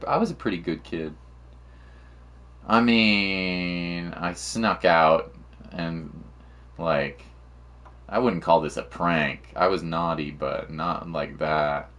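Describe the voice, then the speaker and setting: A man's voice: sits low, a bit bright. A man streaming a game, chatting casually in a rambling, teasing, slangy way. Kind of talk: casual chat while playing.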